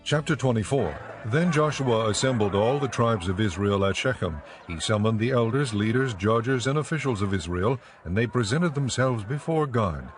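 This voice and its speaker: A man narrating a dramatized scripture recording in a measured, formal way. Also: mid-range voice, animated, a little hiss or hum.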